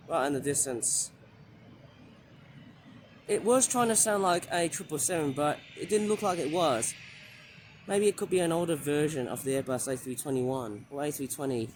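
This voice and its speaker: A man with a croaky, hoarse voice from the flu, rambling and hesitant as he gives a casual running commentary while plane spotting outdoors by an airport runway. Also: fairly high pitch, a little hiss or hum. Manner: rambling, hesitant, filler-heavy.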